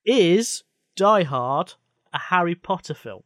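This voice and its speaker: A man with a croaky voice hosting a comedy podcast, teasing and questioning in casual chat. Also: high-pitched, expressive, slow pace, no echo, studio quality. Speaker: podcast host.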